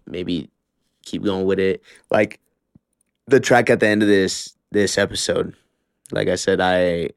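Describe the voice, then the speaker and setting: A man's voice: sits low, dry recording, clean studio sound. A young man hosting his own podcast alone, talking casually with lots of slang, swearing and filler words. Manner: laid-back, slangy and profane, with frequent fillers. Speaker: podcast host. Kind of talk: casual solo podcast monologue. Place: Utah, Salt Lake area.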